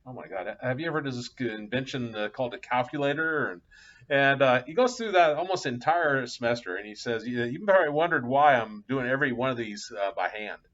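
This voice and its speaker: A man's voice: mid-range voice, lively intonation, a little fast, a bit bright. Casual chatty male math teacher, dull recording. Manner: casual, chatty, thinking aloud with fillers. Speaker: math instructor. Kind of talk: live class lecture with anecdotes. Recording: dull lo-fi classroom capture.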